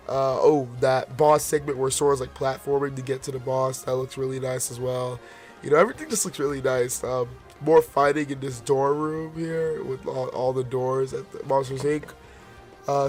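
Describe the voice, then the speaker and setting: Male gaming vlogger, voice going hoarse, casual rambling commentary, mid-range pitch. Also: darkish tone. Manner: rambling, many fillers and restarts; forcing his voice out. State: hoarse, losing his voice. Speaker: gaming video host.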